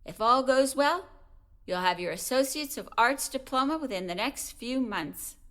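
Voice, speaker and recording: A woman's voice: high-pitched, animated, moderate pace, fairly bright tone, slight background noise. A woman playing a patient, cautious middle-aged mother of a grown son in an audio drama. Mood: supportive.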